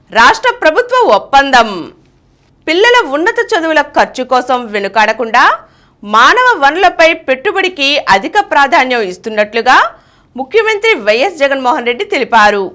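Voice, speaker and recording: A woman reading a news bulletin in a steady, formal newsreading cadence. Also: high voice. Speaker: news reader.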